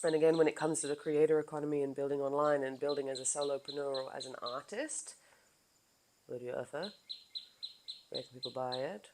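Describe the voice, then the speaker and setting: A woman hosting her own podcast in casual chat, with a low voice, animated and laughing often, now and then swearing. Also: a little fast, background noise. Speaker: podcast host.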